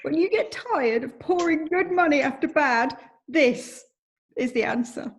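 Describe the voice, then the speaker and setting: Casting: woman as man, forty, nervous and defeated. High, halting, slow delivery, video call table read. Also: animated. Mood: resigned. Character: nervous, broken forty-year-old man, mournful.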